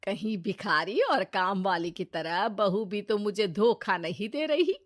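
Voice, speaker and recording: A woman's voice: mid-range voice, animated, a little slow, pitched below the performer's own voice, close to an everyday voice. A woman voicing an elderly, suspicious and boastful mother-in-law in a cartoon story, theatrical and fussy in her delivery. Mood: suspicious, smug. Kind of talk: Hindi cartoon storytelling dub.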